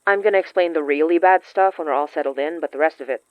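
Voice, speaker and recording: A woman acting in a fiction podcast as a shaken, earnest young woman who confides shyly in halting phrases. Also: sits low, no room sound, highs cut off, close to an everyday voice. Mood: hesitant, confiding. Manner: hesitant, halting, confessional.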